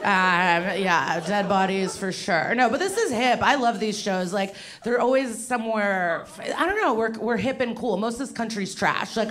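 A woman in her thirties doing a stand-up comedy set on a handheld mic, rambling and chatty with asides to the audience. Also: medium pitch. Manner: rambling, conversational, crowd-work asides. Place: small dim venue with a live audience. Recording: loud audience laughter. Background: audience laughter.

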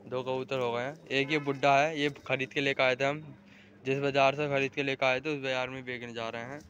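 Bright-voiced young man vlogging outdoors. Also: mid-range voice, level tone, a little fast, dull audio, slight background noise. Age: young adult. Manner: chatty, directing friends, calling out to viewers. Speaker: vlogger showing pigeons. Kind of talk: casual vlog narration. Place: outdoors on a rooftop. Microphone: phone camera held in hand.

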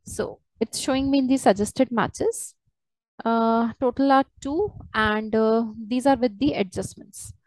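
A woman walking through a software tutorial step by step as a trainer, in a hesitant, halting way. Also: a little high, dry recording, low-quality recording. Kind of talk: screen-share software tutorial, unscripted walkthrough.

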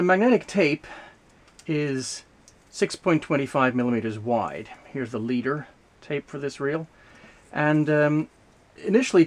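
A man giving an unscripted explanatory demonstration, speaking haltingly with frequent hesitations and self-corrections. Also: a little high. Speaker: presenter demonstrating vintage audio gear.

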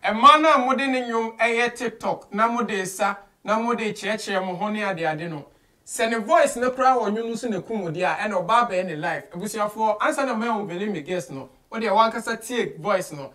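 Casting: Ghanaian man, high voice, emphatic entertainment show host, exclamatory delivery. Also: normal speed, bright, forceful tone. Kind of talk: lively entertainment interview, mixing English and Twi. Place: small indoor studio, seated at a desk.

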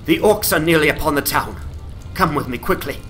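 Man playing an earnest, bright-voiced fantasy ranger. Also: a little high, expressive, a little fast. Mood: urgent. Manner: formal, solemn heroic speech. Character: earnest, urgent male ranger, courteous fantasy hero. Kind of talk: scripted fantasy game dramatization. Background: game music.

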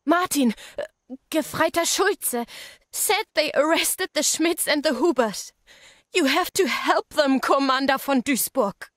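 A woman's voice: sits high, animated, unhurried, fairly bright tone, no room sound, studio quality. An actress playing a distressed, pleading village mother in a video game. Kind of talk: video game cutscene acting.

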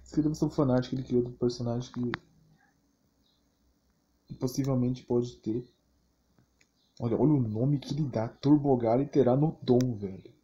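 Brazilian guy rambling casually about games, lots of slang, dull lo-fi sound w/ clear background noise. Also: medium pitch, fairly even intonation, normal speed, long pauses, mellow. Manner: slangy, rambling, repeats himself. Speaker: gaming YouTuber. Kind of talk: casual off-the-cuff commentary on fan game images and videos. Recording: muffled, noisy phone recording with clicks.

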